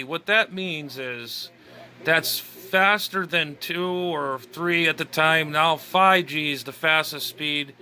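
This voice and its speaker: A man with a piercingly bright, fairly high voice talks off the cuff. His commentary is informal and blunt, like a hobbyist chatting over something he is playing. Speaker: amateur radio vlogger.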